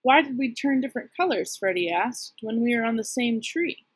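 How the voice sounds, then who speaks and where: A woman reads a storybook and its dialogue in a slightly high, husky voice. The sound is dry, without room. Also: expressive, normal speed, dry recording. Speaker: amateur reader, choir member.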